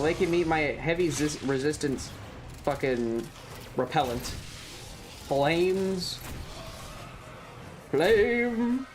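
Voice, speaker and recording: A man streaming a video game with casual live commentary, in a playful, sarcastic and theatrical manner. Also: extremely high pitch. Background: video game ambience.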